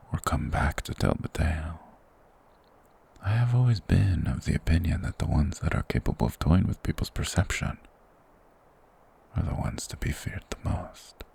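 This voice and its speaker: A man playing a mysterious, courteous monster hermit of the woods in an ASMR fantasy roleplay, speaking in a formal, measured, breathy whisper. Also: rumbling low, exaggerated voice. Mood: quietly ominous. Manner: formal, slow, measured, softly persuasive.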